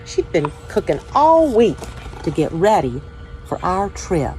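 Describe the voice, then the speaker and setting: A woman with a somewhat low voice reads to children in a story time style. Her phrasing is measured and deliberate. A faint layer of background noise sits behind her. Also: big pitch swings, a little mellow, poor audio. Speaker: church children's ministry director, story time host.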